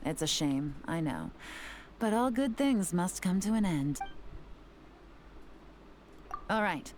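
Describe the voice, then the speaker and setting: A cool female investigator from a video game, speaks in a low register with wry confidence. Her delivery is composed and teasing, with expressive rises and falls over faint background noise. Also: a little slow. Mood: confident, teasing.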